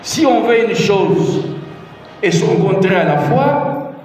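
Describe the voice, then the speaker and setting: A man's voice: sits high, lively intonation, slow pace, darkish tone, a little hiss or hum. A middle-aged Congolese man with a Congolese French accent gives a public talk. He repeats his key phrases and asks the audience rhetorical questions. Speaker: presidential adviser giving a public talk. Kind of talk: public speech on the constitution. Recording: echoey hall, mic far off.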